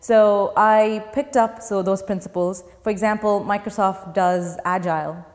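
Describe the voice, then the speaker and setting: A young woman from Dubai speaks as a startup founder in an interview, laying out her plans patiently and earnestly. Her voice sits at an ordinary middle pitch. A long reverb trails behind each phrase. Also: fairly even intonation, a little slow, darkish tone. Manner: earnest, explains step by step. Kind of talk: interview answers.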